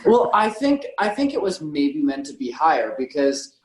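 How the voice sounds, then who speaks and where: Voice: medium pitch, sing-song, normal speed. Podcast co-host, middle-register voice, casual movie talk, recorded in a roomy echo. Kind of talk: casual film discussion. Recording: echoey, roomy sound.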